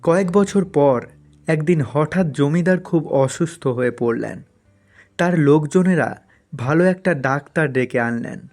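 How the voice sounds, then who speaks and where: A man's voice: fairly high pitch, unhurried, a little mellow, soft background music. A man narrating a scripted cartoon folk story as its storyteller.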